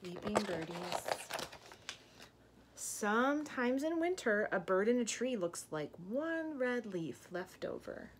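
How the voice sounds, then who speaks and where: A woman in her thirties reading a picture book aloud to young children, gently explaining and asking questions as a teacher does. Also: a little high, sing-song, slow. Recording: clear background room noise.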